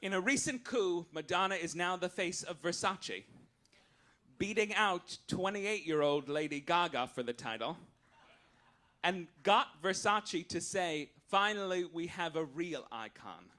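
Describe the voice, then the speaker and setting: Male comedian, live stage monologue, arch and theatrical, sharp bright tone, raised pitch, satirical mock-official style. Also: expressive, unhurried. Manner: theatrical, campy, arch delivery. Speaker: comedian hosting a live show. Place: on stage at a live cabaret venue.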